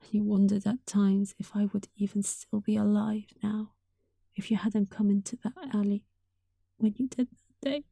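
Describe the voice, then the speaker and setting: A woman's voice: medium pitch, little pitch movement, moderate pace, no room sound. A woman playing a sweet, affectionate young catgirl roommate in an intimate roleplay, speaking tenderly and breathily, often close to a whisper. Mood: earnest, wistful. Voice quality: soft, breathy. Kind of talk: intimate roleplay audio, softly acted monologue.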